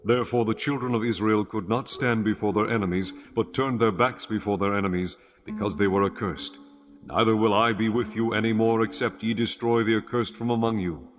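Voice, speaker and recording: A man reading scripture as the narrator of a dramatized audiobook, in a solemn, measured manner. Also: lowish voice, fairly even intonation. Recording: music behind the voice.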